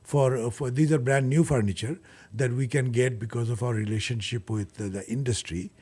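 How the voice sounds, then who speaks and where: A man with a Bangladeshi accent being interviewed, explaining his work earnestly and haltingly, often repeating words. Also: medium pitch, a little mellow, husky. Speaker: guest, charity furniture bank leader.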